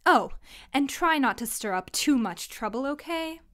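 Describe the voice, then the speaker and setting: A woman's voice: sits high, lively intonation, unhurried, fairly bright tone. An actress playing a smug villain's henchwoman in a fantasy audio drama, taunting with fake politeness. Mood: mocking, sweet. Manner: sing-song faux politeness, taunting. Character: mockingly sweet, smug female villain's henchwoman.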